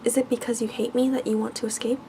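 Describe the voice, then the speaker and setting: A mournful, gentle storybook beast, read by a woman, pleading softly and solemnly. The voice is creaky, and there is clear noise in the recording. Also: fairly high pitch, level tone, fast, lightly acted. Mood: wounded, pleading. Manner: doleful, earnest, solemn. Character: gentle, sorrowful male beast, lovesick and pleading.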